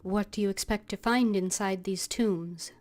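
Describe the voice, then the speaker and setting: Slow-paced woman streaming a game. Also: medium pitch, fairly even intonation, darkish tone. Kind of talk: casual game livestream, voicing her character's lines.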